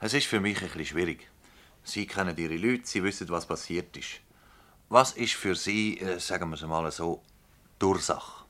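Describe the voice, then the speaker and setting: A man's voice: low-pitched, moderate pace, sharp tone. A man playing a probing, dryly sceptical public prosecutor in a Swiss German dialect radio play, formal and ironic. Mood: musing, businesslike. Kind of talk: dialect radio drama acting.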